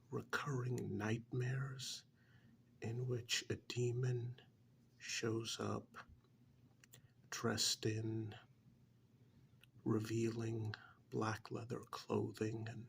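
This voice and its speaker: A man playing a calm, frank therapist in a soft-spoken ASMR role-play, talking in a measured, halting, half-whispered way close to the microphone. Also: medium pitch, gravelly, slight background noise. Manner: measured, halting, matter-of-fact, professional. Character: calm, frank male therapist counselling a troubled married man.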